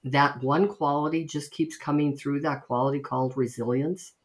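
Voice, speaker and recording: A woman's voice: low-pitched, steady tone, normal speed. A woman hosting a podcast, introducing her guest and asking questions with enthusiasm. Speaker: podcast host and coach. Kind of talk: conversational interview.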